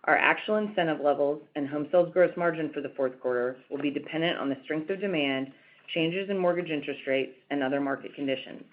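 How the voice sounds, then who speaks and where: A woman's voice: sits low, fast talker. A woman reading a scripted financial presentation as a company executive on an earnings call. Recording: tinny phone-like conference line.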